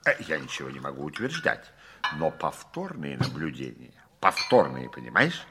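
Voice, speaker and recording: An actor playing an excitable, absent-minded astronomer of about fifty in a 1960s radio play, fretful and emphatic with drawn-out words. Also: male voice, extremely low pitch, background noise, heavily acted. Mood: distracted, self-important. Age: middle-aged.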